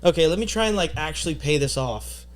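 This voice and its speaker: A man's voice: a little high, lively intonation, quick pace. Laid-back young man telling stories. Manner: laid-back storytelling with lots of fillers and slang. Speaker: podcast guest, marketing agency owner. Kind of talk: casual podcast chat.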